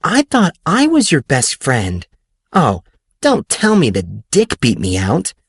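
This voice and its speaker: A man's voice: mid-range voice, wildly swooping pitch, slow, husky, no room sound, studio quality, pitched above the performer's own voice, exaggerated voice. A man voicing a teasing, dramatic, boy-crazy teenage girl in an audiobook story. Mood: scandalized.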